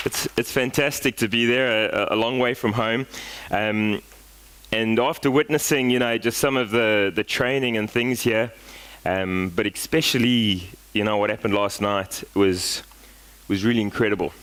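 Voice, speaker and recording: A man's voice: lowish voice, steady tone, normal speed, a bit bright, smooth. A South African man in his forties giving a casual, storytelling talk at a conference, with lots of fillers and restarts. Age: 40s. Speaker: guest speaker.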